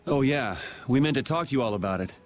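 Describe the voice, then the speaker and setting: A man's voice: medium pitch, animated, fast talker, natural voice. Man as a cocky casual anime swordsman, mid voice, laid back, faint music. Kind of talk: English dub of anime role-playing game.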